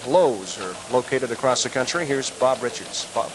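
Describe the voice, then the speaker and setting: A man's voice: mid-range voice, animated, moderate pace, over loud music. An American man reading television news headlines as the anchor. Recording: slightly noisy 1980s TV broadcast tape.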